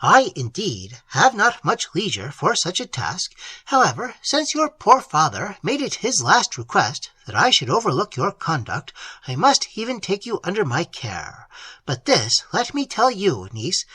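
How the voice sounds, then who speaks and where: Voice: medium pitch, pushed and bright, breathy edge, no room sound, pitched above the performer's own voice, heavily acted. A reader voicing a haughty, scolding middle-aged aunt in an audiobook, with a self-important, sneering manner. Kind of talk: audiobook character voice.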